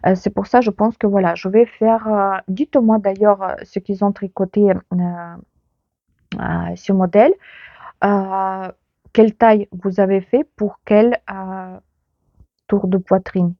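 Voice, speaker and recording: A chatty woman whose first language is Ukrainian talks to her viewers in a loose, meandering way, pausing often on filler sounds. Her voice is mid-pitched, and the recording is dry and a little dull. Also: flat delivery, no room sound. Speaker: knitting podcast host. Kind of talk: casual chat to viewers.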